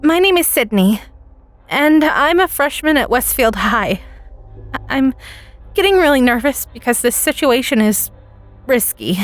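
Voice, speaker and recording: A woman playing an anxious high school freshman girl who tells her story in a hesitant, stammering way. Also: animated, unhurried, pauses often, no echo. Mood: nervous. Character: nervous teenage freshman girl recording during a school lockdown. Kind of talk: dramatic first-person audio story narration.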